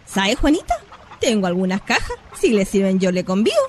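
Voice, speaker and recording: Woman playing chilean mom from a poor neighbourhood, big swoopy pitch, radio drama, noisy behind. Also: huge pitch swings, fairly quick. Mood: neighbourly. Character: generous, resigned working-class Chilean mother and neighbour. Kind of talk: radio play acting. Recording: noisy, farmyard-like ambience.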